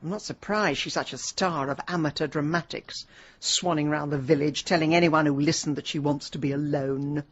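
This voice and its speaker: A woman playing a sharp, ambitious middle-aged English amateur sleuth in a radio drama, with a brisk, wry, dry delivery. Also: sits low, steady tone, husky, dull audio. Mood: wry, scheming.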